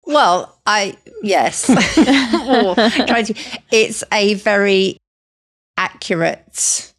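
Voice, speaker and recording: A woman co-hosting a podcast, responding to her guest in a measured, reassuring way. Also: medium pitch. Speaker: podcast co-host. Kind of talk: conversational interview.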